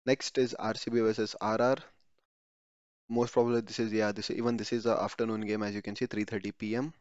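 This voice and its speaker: A man's voice: on the low side, flat delivery, fairly quick, no echo. Man, tutorial voice-over, casual, self-correcting, dry close sound. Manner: conversational, repeats words, self-corrects mid-word. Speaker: YouTube tutorial host. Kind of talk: screen-recorded walkthrough narration. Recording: dry, slightly dull home voice-over.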